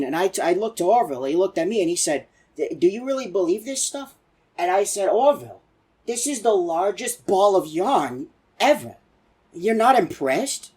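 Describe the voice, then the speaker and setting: A man voicing a chatty, excitable elderly animatronic in a video game, telling folksy, rambling stories in a husky old voice. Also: sits high. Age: older. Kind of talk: rambling game character monologue.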